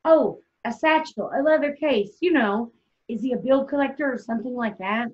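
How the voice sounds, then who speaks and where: A woman acts out a fragile, hopeful young wife in a stage play reading. Her voice is fairly high and eager with a fretful edge. The recording is somewhat dull and lo-fi. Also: normal speed, lightly acted. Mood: anxious. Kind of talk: play table read. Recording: dull lo-fi online call sound.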